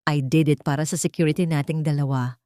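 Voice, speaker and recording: A woman's voice: deep voice, steady tone, fast, mellow, dry recording, studio quality, natural voice. A woman voicing a frightened, manipulative mistress who begs in a trembling voice in a dramatic story. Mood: pleading. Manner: trembling, begging.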